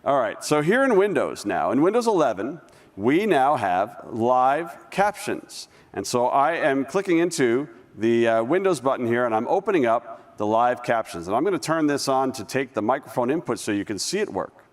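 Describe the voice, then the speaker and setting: Lively male presenter in a big hall. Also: mid-range voice, normal speed. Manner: conversational, with restarts while demoing. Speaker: conference presenter. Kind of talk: live tech demo presentation. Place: large conference hall stage. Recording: long reverb of a large hall.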